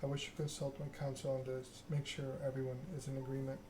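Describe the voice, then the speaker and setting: A man reading a story game aloud in an ASMR style, with hushed, measured narration and dialogue. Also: mid-range voice, monotone, background noise.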